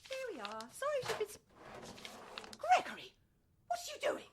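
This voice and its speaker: Very high, haughty, sharp-tongued woman, played by an actress. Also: wildly swooping pitch, normal speed, heavy background noise, heavily acted. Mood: scornful, sarcastic. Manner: sarcastic, haughty, emphatic. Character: sharp-tongued, proud female introduction agency boss. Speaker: actor in a radio play. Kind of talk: theatrical radio comedy acting.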